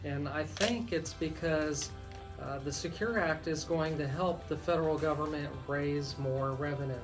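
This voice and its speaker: A man's voice: a little high, unhurried, over music. An American man hosting live talk radio as an attorney, explaining things and addressing listeners directly. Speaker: radio host and estate planning attorney.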